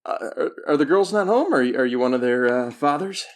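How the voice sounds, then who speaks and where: A man's voice: on the high side, expressive, fast talker, pitched above the performer's own voice. A man voicing an affable, nosy fellow who poses as an innocent janitor in a tabletop game. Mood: falsely friendly. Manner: polite, feigned small talk.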